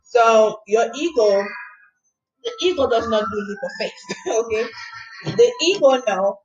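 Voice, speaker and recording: A woman healer giving an earnest motivational talk, telling a personal story in a soft, lilting voice and often checking in with "okay?". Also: a little high, animated, unhurried, dull audio, soft background music. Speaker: spiritual healer and mindset coach.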